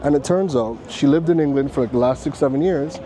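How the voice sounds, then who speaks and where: A man from Trinidad hosting a casual vlog, chatty and excitable, laughing often as he talks at a rooftop bar. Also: mid-range voice, brisk, noisy background. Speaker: vlogger host. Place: busy rooftop bar and restaurant in a city.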